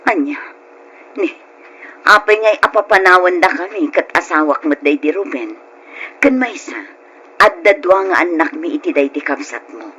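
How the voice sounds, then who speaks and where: A plaintive grieving widow, bright-voiced. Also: female voice, lowish voice, animated, moderate pace, pauses often. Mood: dismayed, protesting. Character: grieving middle-aged widow and mother, meek, put-upon. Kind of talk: old-time Ilocano radio drama acting. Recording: noisy old radio drama recording.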